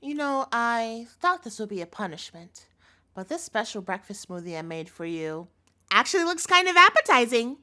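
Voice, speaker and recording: Woman acting high-voiced, sugary, menacing yandere girlfriend. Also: big pitch swings, moderate pace, pushed and bright. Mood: falsely cheerful. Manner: sugary, coaxing, turning threatening. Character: obsessive yandere chef girlfriend, sweet but menacing. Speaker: voice actor in audio roleplay. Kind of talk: scripted intimate audio roleplay acting, addressed to the listener.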